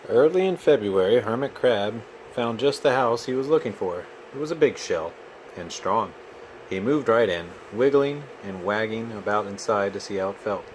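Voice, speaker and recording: Man, lowish voice, children's read-aloud, expressive, patient, faint room noise. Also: normal speed, poor audio. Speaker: children's book reader.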